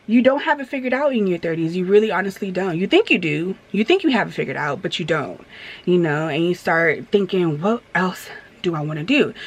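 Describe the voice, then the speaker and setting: A woman in her thirties chatting candidly in a storytime vlog, rambling with many fillers and restarts and turning emphatic as she vents. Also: fairly low pitch. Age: 30s. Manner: emphatic when venting. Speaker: vlogger. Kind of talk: casual storytime chat while getting ready.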